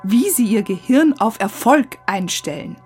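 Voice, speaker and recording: A woman's voice: medium pitch, animated, a little slow, darkish tone, breathy edge. A middle-aged woman telling a first-person story as narrator of a radio feature. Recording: music under the voice. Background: music.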